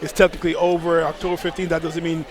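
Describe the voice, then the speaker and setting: Animated guy hosting an interview, talks quick, lots of people chattering behind him. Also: a little high. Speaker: host. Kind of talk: upbeat on-location interview. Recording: busy crowd chatter behind.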